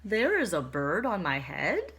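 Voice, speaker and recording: A woman's voice: on the low side, wildly swooping pitch, unhurried, a bit bright, husky, pitched below the performer's own voice, exaggerated voice. A woman voicing an anxious male elephant in a storybook, with fretful, exclaiming questions. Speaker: storybook character.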